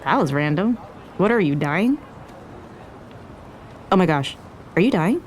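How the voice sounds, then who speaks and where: Young mexican-american restaurant owner, blunt n teasing, lowish voice swinging all over, audio drama, street noise behind. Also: female voice, very animated, measured pace. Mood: startled. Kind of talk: naturalistic fiction podcast acting.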